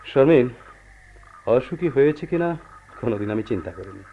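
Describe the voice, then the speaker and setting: A man acting a calm, pragmatic husband who earnestly placates his unhappy wife in a radio play. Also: medium pitch, moderate pace, vocal fry. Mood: puzzled, defensive. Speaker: radio drama actor. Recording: dull, noisy old radio drama recording.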